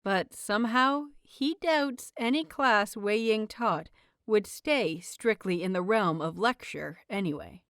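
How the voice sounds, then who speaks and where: A woman's voice: medium pitch, slow pace, fairly bright tone, smooth, no room sound. Woman narrating a story, dry close sound. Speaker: narrator of an audio fanfiction series.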